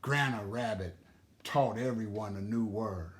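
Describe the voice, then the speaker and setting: A man telling and explaining a children's story in a deliberate, expressive way. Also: lowish voice.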